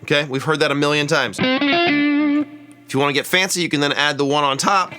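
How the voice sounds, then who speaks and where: A man's voice: a little high, sing-song, normal speed, bright, forceful tone. A man giving a casual lap steel guitar lesson. He chats as he plays and counts out the scale degrees of each lick. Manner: chatty, explains while playing, counts out scale degrees. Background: lap steel guitar playing.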